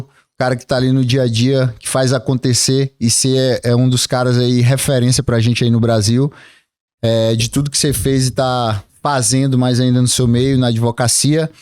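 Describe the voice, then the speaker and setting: A man hosting a podcast in a relaxed, enthusiastic way, using slang and quick replies. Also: medium pitch, no echo, clean studio sound. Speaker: podcast host. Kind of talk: casual podcast interview.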